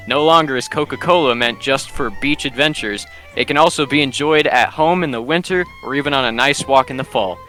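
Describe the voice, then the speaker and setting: Bright vintage radio spokesman acted by a man. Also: on the high side. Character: proud, formal corporate spokesman in a vintage radio advert. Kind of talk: 1920s radio commercial read.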